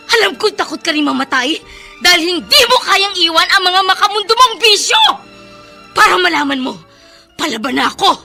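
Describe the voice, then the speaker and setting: A woman's voice: extremely high pitch, animated, moderate pace, creaky, pushed and bright, soft background music, heavily acted. A woman playing a fierce, desperate middle-aged mother in a Filipino radio drama. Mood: furious, defiant. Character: desperate, fierce mother hunting her missing daughter.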